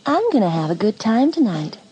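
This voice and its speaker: A woman's voice: medium pitch, sing-song, fairly quick, warm tone, lo-fi sound, faint music behind, natural voice. An actress playing a defiant, scornful teenage girl in an audio drama, taunting and sure of herself. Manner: taunting, self-assured.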